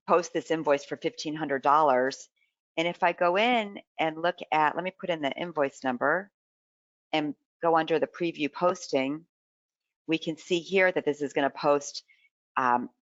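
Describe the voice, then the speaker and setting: A presenter giving a live software demonstration in an informal way, explaining and thinking aloud while navigating. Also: medium pitch, dry recording, highs cut off.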